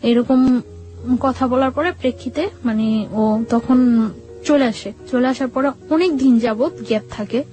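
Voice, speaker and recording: Interview guest: young woman, fairly high voice, repetitive step-by-step storyteller, light music underneath. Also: a little slow, mellow. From Bangladesh, Barisal region. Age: young adult. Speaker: guest telling life story. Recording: music playing behind the voice. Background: background music.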